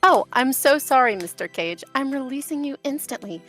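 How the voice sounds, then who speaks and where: A man's voice: very high voice, lively intonation, moderate pace, pushed and bright, soft background music, pitched above the performer's own voice, full character voice. A man voicing a prim, earnest young female unicorn pony who talks in a fussy, officious way. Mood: flustered.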